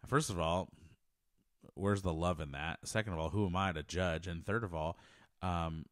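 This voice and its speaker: A man with a very deep, low voice talks earnestly into a handheld mic held close to his mouth. He rambles a little, repeats himself and restarts sentences as he shares his thoughts. The sound is dry and close, with almost no room tone. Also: moderate pace, dry recording. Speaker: podcast host. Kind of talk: personal faith podcast monologue. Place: small home office. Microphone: handheld dynamic mic close to mouth.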